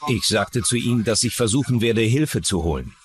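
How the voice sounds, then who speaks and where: A shaken young deckhand played by a man gives his account in a documentary dub. The voice is low, with faint chatter behind it. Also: expressive, normal speed, natural voice. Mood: shaken, recounting. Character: shaken young deckhand recounting a sea disaster.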